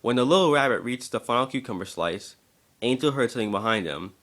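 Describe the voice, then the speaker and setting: A man's voice: medium pitch, animated, fast talker, a bit bright. A man narrating a story as an amateur dramatic reader, occasionally stumbling over the text.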